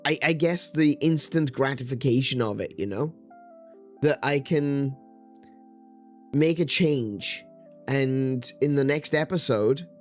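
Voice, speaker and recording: A man hosts a live stream from his desk, his voice slightly croaky and strained. He talks in a rambling, easygoing way with lots of pauses and restarts, and faint music plays behind him. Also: mid-range voice. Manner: rambling, hesitant, many fillers and restarts. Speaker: streamer host. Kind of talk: casual live-stream chat.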